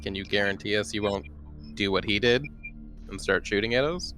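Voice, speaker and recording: A man's voice: lowish voice, fairly quick, fairly bright tone, soft background music. A man playing in a tabletop roleplaying game, talking casually with the group.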